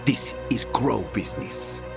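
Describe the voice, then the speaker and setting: An actor playing a prideful, smooth-talking assassin in a video game, theatrical and needling. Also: male voice, medium pitch, very animated, unhurried, music in the background. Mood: teasing, insistent.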